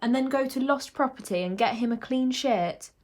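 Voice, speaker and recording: A woman's voice: high voice, moderate pace, pitched above the performer's own voice. A woman voicing a weary, stern female head teacher in a children's audiobook, snappish and authoritative. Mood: weary scolding.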